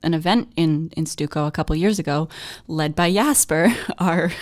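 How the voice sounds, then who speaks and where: A woman's voice: deep voice, normal speed, no echo, clean studio sound. A young adult woman co-hosting a student radio show, chatting casually through news items and laughing often.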